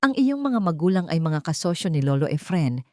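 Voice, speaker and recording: A woman's voice: fairly low pitch, very animated, a little fast, dry recording. Woman acting worried male attorney, grave, earnest, audio drama storytelling, dry recording. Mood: grave, explaining.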